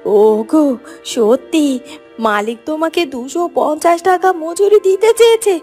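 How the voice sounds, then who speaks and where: Village wife, cartoon fairy tale female performer: high-pitched, expressive, caring, anxious and coaxing. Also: measured pace, soft background music. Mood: delighted.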